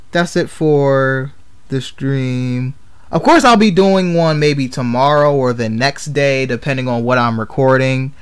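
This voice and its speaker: A man's voice: mid-range voice, smooth. A man chatting casually as he livestreams a game, rambling and often repeating his phrases. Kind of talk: casual gaming stream chat.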